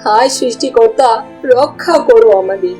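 Woman as a high, gentle village wife. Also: moderate pace, faint music behind, close to an everyday voice. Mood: anxious. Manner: caring, pleading. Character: devout, gentle village farmer's wife and mother. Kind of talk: cartoon voice acting.

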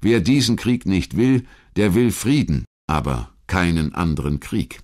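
Ein Mann, der als Erzähler einen vorbereiteten historischen Text vorträgt. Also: male voice, sits low, expressive, unhurried, a little mellow. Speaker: documentary narrator. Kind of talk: scripted historical narration.

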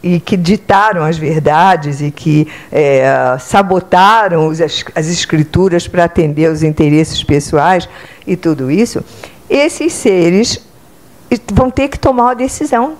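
A woman's voice: on the low side, unhurried. An older Brazilian woman, a psychotherapist, giving a spiritual lecture standing in an auditorium, talking into a handheld microphone and often checking in with her listeners. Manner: conversational, checks in with "entende?" and "né?"; speaks with lively hand gestures, standing. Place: club auditorium, indoors.

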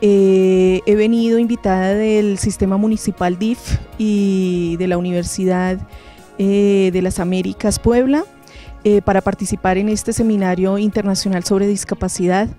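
A dark, relaxed woman's voice at a middle pitch, with a Colombian accent. She speaks as an interviewee into a handheld mic outdoors. Faint music plays underneath. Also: moderate pace. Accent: Colombian Spanish. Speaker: occupational therapist, interviewee. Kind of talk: interview statement to camera. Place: outdoors, in a garden courtyard. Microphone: handheld microphone at chest height.

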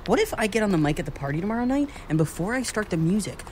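A young American man playing a brash, excitable slacker college student in a naturalistic audio drama. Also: high-pitched, rapid-fire, slight background noise. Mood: pleading, playful. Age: young adult.

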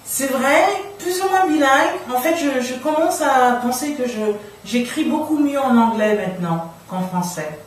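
A middle-aged woman from the Democratic Republic of the Congo telling personal stories casually as an interview guest, in an animated way. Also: high voice, moderate pace, few pauses. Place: small radio studio room, indoors. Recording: very echoey room, distant, faint noise.

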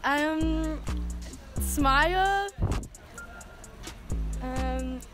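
A woman's voice: high-pitched, very slow, music in the background. A teenage girl giving shy, unsure answers to interview questions.

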